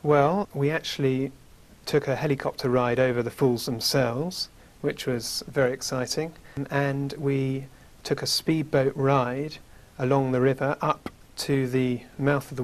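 A man in his thirties with a British accent, a guest in a studio interview, telling about his travels in a measured way with many fillers, on a lapel mic. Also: mid-range voice, flat delivery. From United Kingdom. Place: small studio with curtain backdrop.

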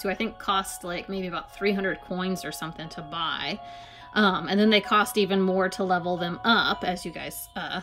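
Young adult woman, casual app reviewer, mid-pitched, halting filler-heavy delivery, faint background music. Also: a bit bright. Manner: hesitant, many ums and likes. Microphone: phone or camera filming a tablet screen.

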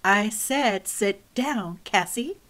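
A woman's voice: lowish voice, slow, vocal fry, slightly rough, full character voice. Give me a cold, stern schoolteacher as a woman reads her. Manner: cold, clipped commands.

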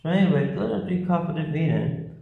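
Halting man in a very echoey room. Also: on the high side, gravelly. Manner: halting, repeats words. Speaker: vlogger giving tarot readings. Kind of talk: casual to-camera tarot reading. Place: small bare-walled room, indoors. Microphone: phone at arm's length. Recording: very echoey room, dull lo-fi sound.

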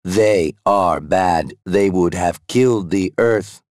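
An actor voicing a childlike, megalomaniac artificial intelligence in a video game, speaking in plaintive, halting fragments. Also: male voice, low voice, darkish tone, dry recording, studio quality. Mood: mournful, fading. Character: dying megalomaniac AI, childlike and mournful. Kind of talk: video game character dialogue.

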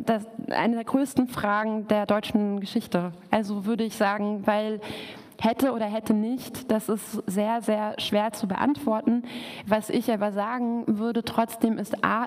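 A young woman whose first language is Russian answers at a talk, pausing and restarting as she thinks aloud. Her voice sits in a middle register. The recording carries the long reverb of a dark event hall. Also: mid-range voice. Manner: thoughtful, many fillers and restarts. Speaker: lecturer. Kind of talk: talk and discussion.

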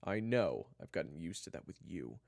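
A man voicing a hot-headed, sarcastic schoolboy with a forceful, confrontational manner in an audiobook-style story. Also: sits low, sing-song, normal speed, gravelly, no room sound, studio quality, full character voice. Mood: irritated, defensive.

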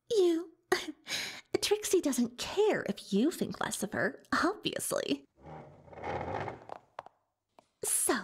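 Actress for a self-important unicorn pony magician, cartoon ASMR roleplay: very animated, unhurried delivery, haughty and easily defensive. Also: female voice, high voice, a little hiss or hum, heavily acted. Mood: scheming, haughty. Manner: pompous, third-person bragging, hesitant when cornered. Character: boastful, defensive female unicorn pony magician turned librarian.

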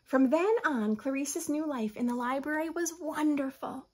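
An American woman in her thirties reading a picture book aloud with expressive, teacherly asides to young children. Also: sits high, moderate pace. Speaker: children's story host. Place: small furnished room at home.

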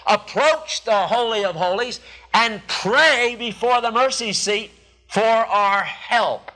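A man in his sixties preaching a sermon from a church pulpit into podium microphones, emphatic and deliberate, with pauses and repeated phrases. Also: high voice, pushed and bright, rough recording. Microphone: two podium microphones.

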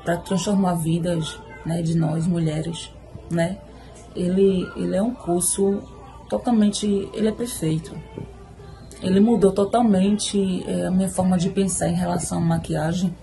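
A woman's voice: lowish voice, creaky, mellow. A woman with a Pernambuco accent giving a selfie video testimonial, hesitating with fillers and restarts. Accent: Pernambuco Brazilian Portuguese. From Recife, Brazil. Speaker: course student giving a testimonial. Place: indoors, home room. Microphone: phone at arm's length.

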